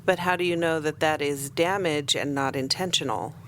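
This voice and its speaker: A woman's voice: deep voice, normal speed, a bit bright. A woman playing a level-headed, probing starship scientist in a tabletop game, matter-of-fact and precise.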